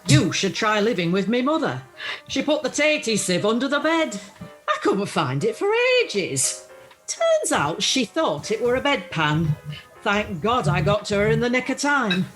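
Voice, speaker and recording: A woman's voice: medium pitch, sing-song, measured pace. A woman playing a chatty, plucky older widow in an amateur radio play, with a Northern English accent and an earthy, self-deprecating manner. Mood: jokey, earthy. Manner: earthy, self-deprecating, uses homely dialect. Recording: faint music, home video-call recording.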